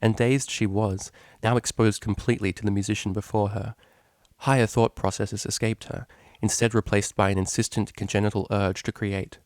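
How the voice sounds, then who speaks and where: Low-voiced man narrating a story. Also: little pitch movement, normal speed, a little mellow, dry recording, studio quality. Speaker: narrator, fan fiction reader.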